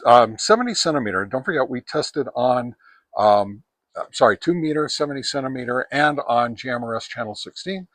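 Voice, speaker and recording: Man giving a halting informal review, dry sound. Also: mid-range voice, fairly bright tone, no echo. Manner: halting, pausing often mid-sentence, clears throat. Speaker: reviewer, presenter of radio antenna tests. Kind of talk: informal product review, unscripted.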